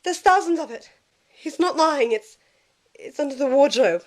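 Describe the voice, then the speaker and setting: A woman's voice: high-pitched, expressive, moderate pace. A woman playing a plucky, protective, indignant young girl, recorded in an echoey space. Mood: urgent. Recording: echoey room with long reverb.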